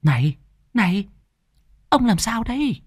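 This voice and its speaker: A man's voice: big pitch swings, a little slow, breathy edge, dry recording, studio quality, full character voice. A man voicing a frightened, whining village wife who pleads and frets in a storytelling narration. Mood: alarmed. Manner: shrill, pleading, fretful.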